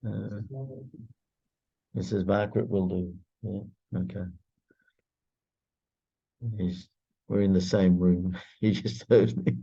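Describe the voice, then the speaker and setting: Deep, mellow man, Greek native speaker; hesitant, halting delivery on a dull call line. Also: level tone, gravelly, breathy edge. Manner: halting, lots of filler pauses. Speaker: prayer group member. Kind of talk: casual group video call. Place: indoor room shared with another participant.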